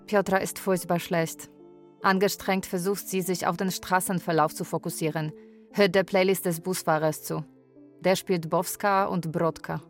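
Radio play caregiver female actor: young Polish woman, anxious storyteller, lowish pitch, faint music bed. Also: fairly even intonation, moderate pace, lightly acted. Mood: narrating. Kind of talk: bilingual radio drama narration.

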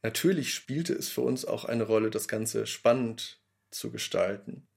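Low, gravelly man explaining. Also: a little slow, darkish tone. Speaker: radio play maker. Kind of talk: explanatory interview statement.

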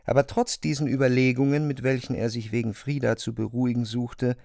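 A man's voice: medium pitch, flat delivery, fairly quick, gravelly, darkish tone, no echo, clean studio sound. A man narrating a literary story from a script.

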